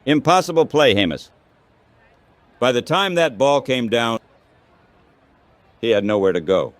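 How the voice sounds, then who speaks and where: A man's voice: medium pitch, expressive, measured pace, dry recording. A synthetic text-to-speech man's voice calling baseball play-by-play in a radio style, in short clipped phrases.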